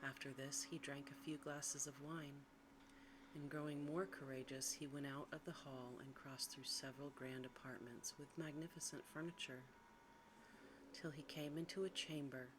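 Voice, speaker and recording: A woman reads a bedtime story in ASMR style, in a soft, breathy, hushed and soothing whisper. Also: very deep voice, fairly even intonation, normal speed. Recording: clearly audible background noise.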